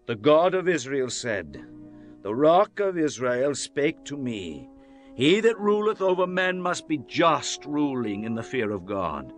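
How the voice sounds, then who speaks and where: A man playing a devout warrior king and psalmist in a dramatized scripture reading, declaiming in solemn, measured phrases. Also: mid-range voice, big pitch swings. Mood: solemn, reverent. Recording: music under the voice. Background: music.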